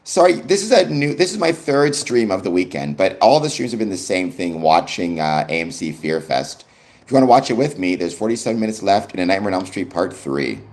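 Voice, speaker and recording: Low, lively Canadian man chatting on stream. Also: a little fast. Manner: easygoing, many ums, reads and answers chat comments. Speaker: livestream host. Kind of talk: casual live-stream chat answering viewers.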